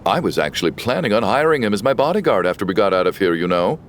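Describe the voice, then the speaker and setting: An actor playing a grumbling, casual but soft-hearted man in a video game dub. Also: lowish voice, big pitch swings, fast, slight background noise. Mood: grumbling, sorry. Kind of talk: English game dub voice acting.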